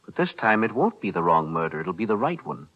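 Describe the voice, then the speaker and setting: Deep-voiced, composed radio lawyer. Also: male voice, big pitch swings, brisk. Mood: firm. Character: composed, principled male lawyer, quietly resolute. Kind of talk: 1940s radio thriller acting. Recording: muffled, noisy vintage radio broadcast.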